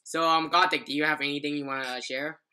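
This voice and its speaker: A man's voice: a little high, steady tone, fast talker, bright, forceful tone, clear, resonant tone. A man chatting casually as the host of a podcast, on a slightly noisy voice chat with clicks.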